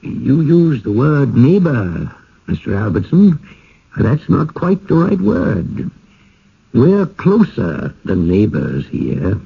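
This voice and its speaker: An actor playing a genial, insistent small-town village elder in a radio drama, with a folksy, courtly, speechifying manner. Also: male voice, mid-range voice, huge pitch swings, takes it slowly, gravelly, husky, heavily acted. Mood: patient, persuasive. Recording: dull lo-fi old radio broadcast.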